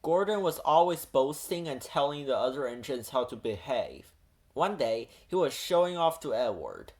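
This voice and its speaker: A man reading a children's storybook aloud in an expressive storytelling style. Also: mid-range voice, normal speed. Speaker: children's book reader. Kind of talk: animated storybook read-aloud.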